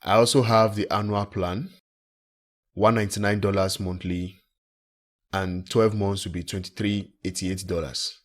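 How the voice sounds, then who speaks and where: A man presenting a software tutorial in a plain, instructional way, recorded as a screen-recording voice-over. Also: deep voice, level tone, measured pace. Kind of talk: step-by-step software tutorial narration.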